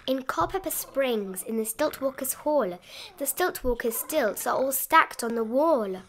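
A woman with a fairly high voice reads a children's picture book aloud over some noticeable background noise. She leans into the rhymes with an expressive, sing-song delivery, as a storybook reader. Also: unhurried.

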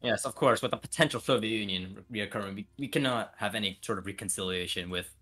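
A man's voice: on the low side, quick pace, sharp tone. A man roleplaying a vice president over a voice call, agreeable and formally deferential.

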